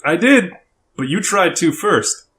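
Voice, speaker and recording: An actor voicing an excitable, teasing big brother, a young prince given to playful exclamations, in an audio drama. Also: mid-range voice, measured pace. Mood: gleeful, teasing.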